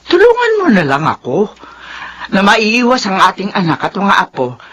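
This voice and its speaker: A woman's voice: medium pitch, huge pitch swings, normal speed, fairly bright tone. An older woman playing a worried, protective elderly mother in a radio drama, speaking in an earnest, pleading way. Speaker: mother of the heroine.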